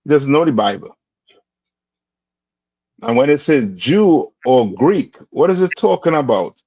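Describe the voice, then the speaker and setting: A man's voice: mid-range voice, expressive, a little slow, a little mellow, breathy edge, dry recording. A man leading a religious class, quizzing his students and prodding them for answers. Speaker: class instructor. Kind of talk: call-and-response religious class. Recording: dull, dry lo-fi recording.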